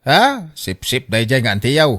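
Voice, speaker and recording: A man's voice: mid-range voice, wildly swooping pitch, measured pace, a bit bright. A man acting a scheming grown son in a family radio drama, coaxing and insistent in his delivery.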